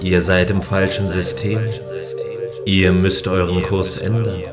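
A man's voice: low-pitched, flat delivery, takes it slowly, over music. A man playing a stern space guardian in a science fiction audio drama, firm and commanding. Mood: solemn, hard. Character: stern male space guardian enforcing a planet's quarantine.

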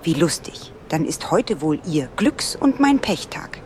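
A woman's voice: deep voice, big pitch swings, unhurried, a little mellow, slight background noise. An actress playing a sardonic, prickly woman traveller in an audio drama, sarcastic and dismissive in manner. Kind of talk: German audio drama dialogue.

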